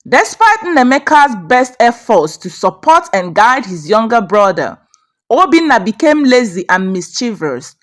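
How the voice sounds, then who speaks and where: Female narrator for folktales: fairly high voice, animated melodic range, measured expressive storytelling.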